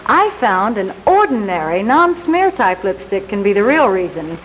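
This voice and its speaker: A female American presenter delivering a polite, rehearsed sponsor message. Her voice is a little high and swings expressively. The sound is a dull 1950s broadcast with faint noise. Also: very animated, moderate pace. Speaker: sponsor's presenter on a game show. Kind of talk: scripted 1950s television commercial.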